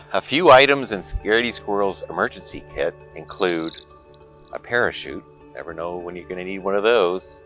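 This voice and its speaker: A man reading a picture book aloud to children in an expressive, dramatic storytelling manner. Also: fairly low pitch. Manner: expressive, dramatic pauses and exclamations.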